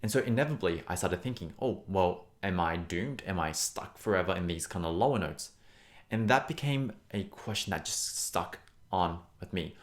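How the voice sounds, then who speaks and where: A young man teaches singing in a casual, earnest way, speaking with a fairly low voice. He encourages the viewer and often repeats words to stress a point. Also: expressive, moderate pace. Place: Australia.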